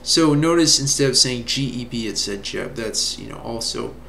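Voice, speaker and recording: A middle-aged man casually reviewing a browser add-on, with a relaxed manner and lots of fillers. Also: medium pitch, moderate pace, vocal fry. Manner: relaxed, many fillers like "you know". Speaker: reviewer demonstrating software. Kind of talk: casual screen-recorded review. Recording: echoey room with faint noise.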